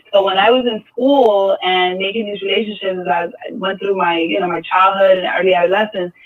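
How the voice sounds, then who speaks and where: A man in his thirties from the Dominican Republic, raised in New York City, with a New York accent, talking in a relaxed, reflective way with many fillers on an artist panel. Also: sits high. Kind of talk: casual panel discussion. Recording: tinny, distant phone-like sound.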